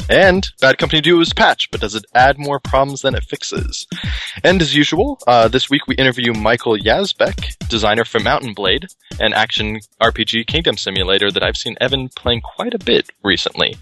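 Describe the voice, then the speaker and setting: A man hosts a casual podcast with a playful, theatrical delivery. His pitch jumps around a lot as he teases his co-hosts. A faint bed of music sits under his voice. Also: pushed and bright. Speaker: podcast host.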